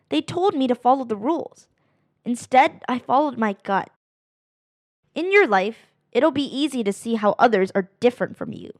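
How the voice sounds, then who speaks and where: A woman reading a children's picture book aloud in an animated, expressive way, drawing out phrases for dramatic emphasis. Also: high-pitched, no room sound, clean studio sound. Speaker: read-aloud narrator of children's books.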